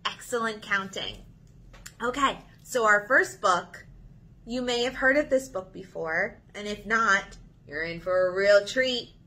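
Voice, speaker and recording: A woman in her thirties leading a children's story time, reading aloud in an animated, sing-song way to young listeners. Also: medium pitch, husky. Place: small indoor room.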